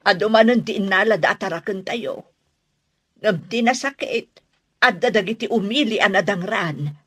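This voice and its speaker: A woman's voice: medium pitch, moderate pace, fairly bright tone, husky, no echo, studio quality. A woman playing a concerned, compassionate villager in a radio drama, earnest and sympathetic. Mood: worried.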